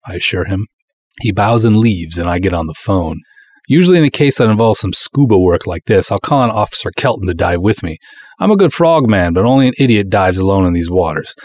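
A man's voice: fairly low pitch, darkish tone, dry recording. A man playing a proud, folksy small-town cop who narrates his own pulp detective story in breezy, wisecracking, colloquial style. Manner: breezy, colloquial, hard-boiled wisecracking delivery. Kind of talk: dramatic first-person pulp story narration.

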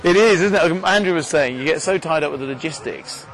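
A man's voice: a little high, animated, quick pace, a bit bright, low-quality recording. A man, the podcast host, chatting and encouraging a triathlete outdoors at a race venue. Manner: chatty, encouraging, laughs easily. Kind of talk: casual chat with athletes. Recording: crowd noise outdoors.

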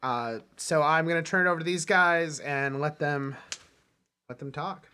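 A man co-hosting a casual film podcast, talking in a halting way that circles back mid-sentence. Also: fairly high pitch, bright, forceful tone, clear, resonant tone. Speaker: movie podcast co-host.

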